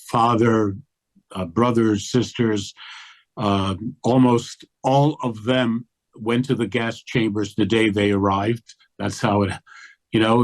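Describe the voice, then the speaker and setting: An older man and grandfather, speaking as an interview guest in a passionate, emphatic way. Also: medium pitch. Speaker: guest, activist. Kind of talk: interview conversation.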